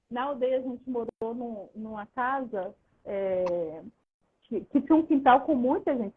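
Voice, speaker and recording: A woman's voice: on the high side, husky. A Brazilian woman, a guest on a video call, telling her story at length with many restarts. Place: abroad, far from the host. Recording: tinny phone-like line.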